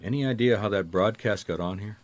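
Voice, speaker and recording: A low-pitched, dry-recorded man played by an actor, a middle-aged private eye in a radio drama. He is laconic and skeptical, asking his questions in an understated way. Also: brisk, no echo, close to an everyday voice. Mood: probing. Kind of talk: radio detective drama acting.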